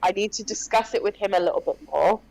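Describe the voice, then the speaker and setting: A woman's voice: medium pitch, fast, creaky, a little mellow, rough recording. A woman player chatting casually with a cold, rambling and full of ums. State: stuffy with a cold, sniffling. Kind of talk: casual chat between game sessions.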